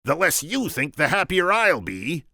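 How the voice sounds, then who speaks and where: A man putting on a high, shrill Sicilian kidnapper, smug and mocking, dry close sound. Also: very animated, a little slow, pushed and bright, no room sound, full character voice. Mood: scornful. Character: scheming conceited Sicilian male kidnapper.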